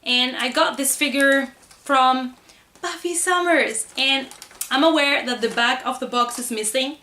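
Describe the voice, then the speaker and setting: A woman's voice: sits high, measured pace, sharp tone. A woman chatting casually in a haul video, enthusiastic and gushing about her collectible figures. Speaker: horror and collectibles reviewer.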